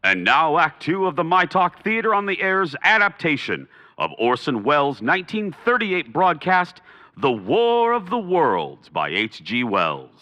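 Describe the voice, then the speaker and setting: A man plays a theatrical 1930s radio announcer with grand delivery. His voice is bright and sweeps widely in pitch, in a long reverb. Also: sing-song, measured pace, heavily acted. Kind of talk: old-time radio programme introduction.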